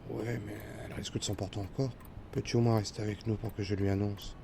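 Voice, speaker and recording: A man playing a worried, gentle, apologetic father who speaks tentatively and carefully in an audio drama. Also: lowish voice, level tone, quick pace, noisy background, close to an everyday voice. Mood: hushed, hesitant. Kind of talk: amateur French audio fiction dialogue.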